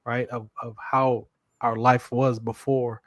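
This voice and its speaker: A man's voice: medium pitch, little pitch movement, slow, no echo. A man hosting an interview, speaking earnestly with repeated words and frequent "right?". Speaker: host. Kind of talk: casual interview talk.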